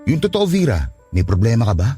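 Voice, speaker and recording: Nosy concerned guy friend, husky, lively pitch, teasing, radio drama. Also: quick pace, soft background music. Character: concerned, teasing male friend prying into her troubles. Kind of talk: Filipino radio drama acting.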